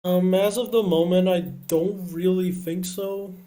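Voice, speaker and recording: A man's voice: high-pitched, steady tone, unhurried, warm tone. A man from Brazil chatting casually as a guest on a livestream, with some swearing. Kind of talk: casual chat.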